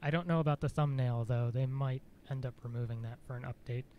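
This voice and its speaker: A man streaming a game, chatting casually in a dry, monotone voice, rambling with fillers and self-corrections. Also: mid-range voice, fast talker, muffled recording, a little hiss or hum. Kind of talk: casual live-stream chat.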